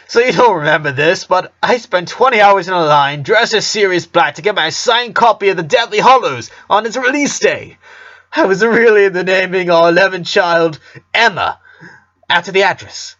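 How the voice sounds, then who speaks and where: A man's voice: sits high, animated, moderate pace, a bit bright, pitched above the performer's own voice. Man voicing an earnest dad, slightly muffled audio. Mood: animated, excited. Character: earnest, sentimental middle-aged father of a big family.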